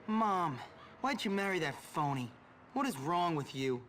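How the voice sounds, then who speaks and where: Surly, slow-talking teenage boy. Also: fairly high pitch, big pitch swings, a bit bright, a little hiss or hum. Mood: angry, disbelieving. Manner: sullen, grudging, sarcastic. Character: surly rebellious teenage boy, new at boarding school. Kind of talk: video game cutscene acting.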